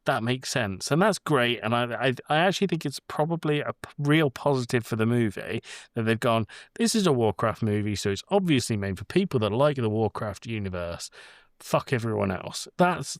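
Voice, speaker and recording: A man's voice: medium pitch, animated, normal speed, no echo, clean studio sound. A man co-hosting a podcast, talking casually about film in a blunt, wry way with some swearing. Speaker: podcast host.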